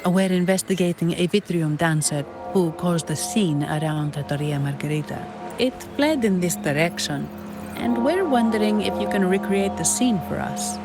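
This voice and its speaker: A woman's voice: fairly low pitch, lively intonation, lightly acted. A woman playing a composed investigator, music behind. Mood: businesslike. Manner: measured, courteous, explanatory. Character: composed, practical female investigator and guide. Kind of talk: story video game voice acting.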